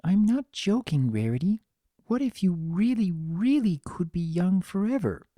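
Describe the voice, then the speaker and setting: A reader voicing a gentle, anxious young female pony with a soft, hesitant, earnest manner in a story reading. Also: medium pitch, sing-song, a little slow, no room sound, studio quality, pitched above the performer's own voice. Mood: earnest, pressing.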